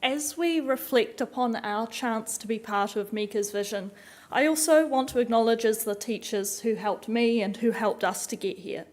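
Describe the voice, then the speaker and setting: Young woman with a New Zealand accent, slightly high voice, earnest and composed in a formal speech. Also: moderate pace, a little mellow. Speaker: doctoral student giving a speech.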